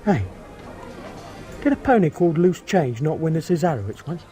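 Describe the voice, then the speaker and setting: High-voiced, casual male burglar. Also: big pitch swings, normal speed, dark tone, soft background music. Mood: instructing. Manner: casual, slangy, clipped. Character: chirpy male burglar, horse-betting mad, matter-of-fact. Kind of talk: radio drama acting.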